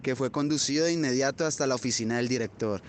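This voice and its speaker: A man's voice: quick pace, lightly acted. A man playing a long-winded, self-important pedant telling a story in a literary radio drama. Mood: self-important, wordy. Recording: clear rumbling background noise.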